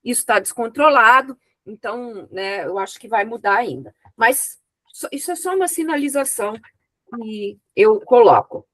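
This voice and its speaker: Higher-voiced woman giving frank academic feedback. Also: sing-song, dry recording. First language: an unwritten oral language. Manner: thoughtful, frank, pauses often with fillers. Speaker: examiner on a master's thesis committee. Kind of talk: spoken academic feedback to a student. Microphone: video call.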